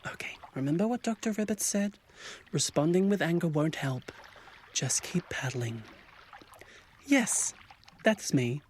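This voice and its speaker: Guy doing a polite strained swan, talks himself calm, kinda high voice, radio play. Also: a little hiss or hum. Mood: self-soothing. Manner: measured, restrained, self-coaching. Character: grown-up ugly duckling swan, polite, strained, self-soothing. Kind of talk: audio fiction acting.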